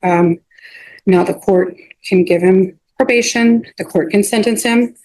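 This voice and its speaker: A woman speaking as a defense attorney in a court hearing, halting and often repeating or restarting her phrases. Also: medium pitch, darkish tone, poor audio. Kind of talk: addressing a judge in a court hearing.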